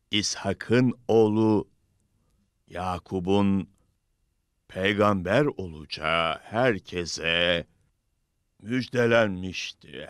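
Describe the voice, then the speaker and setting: A man narrating a religious story from a script in a solemn, measured manner. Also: deep voice, a bit bright, no echo, studio quality.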